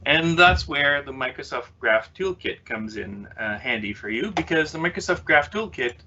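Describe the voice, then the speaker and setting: A man's voice: medium pitch, expressive, normal speed, bright, forceful tone. Chatty French Canadian man presenting online. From Quebec City, Canada. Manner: chatty, many "uh" fillers, self-mocking humor. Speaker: conference speaker, developer consultant. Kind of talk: self-deprecating tech presentation. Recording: slightly dull lo-fi webcast audio.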